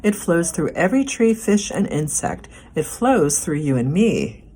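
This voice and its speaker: A woman reading a picture book aloud as a children's librarian, phrasing it expressively and deliberately for young listeners. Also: lowish voice, big pitch swings, warm tone.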